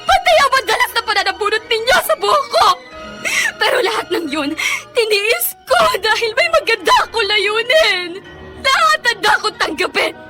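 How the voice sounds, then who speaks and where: Piercingly high, tearful Filipina waitress. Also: female voice, sing-song, normal speed, music in the background. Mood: anguished, tearful. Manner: tearful, wailing, pleading delivery. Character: long-suffering, mistreated young waitress, meek and pleading. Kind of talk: melodramatic Filipino radio drama acting.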